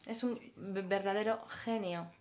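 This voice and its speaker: A woman working as a simultaneous interpreter at a public talk. She speaks in measured phrases and pauses while she searches for the next word. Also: medium pitch, steady tone.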